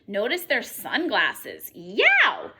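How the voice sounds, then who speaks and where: A woman reading a children's picture book aloud expressively, acting out the exclamations in an animated manner. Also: a little high, drawn-out words. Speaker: read-aloud storyteller. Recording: noticeable background noise.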